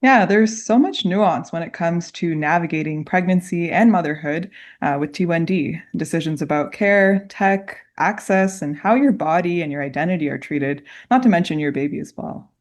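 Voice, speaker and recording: A woman co-hosts a podcast with a slightly low voice. She reads her part of the intro in a measured, earnest way. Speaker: podcast co-host.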